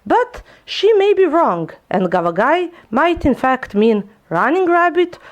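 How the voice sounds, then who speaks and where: A young woman whose first language is Serbian, presenting a research paper in a clear, explanatory way with a few small slips. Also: sits high, sing-song, unhurried, muffled recording. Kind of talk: prepared lecture presentation.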